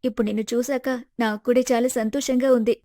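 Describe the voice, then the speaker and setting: A woman voicing a young woman in an animated moral story, sweet to her lover and calculating underneath. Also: fairly high pitch, fast, no echo, studio quality, lightly acted. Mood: sweet, delighted.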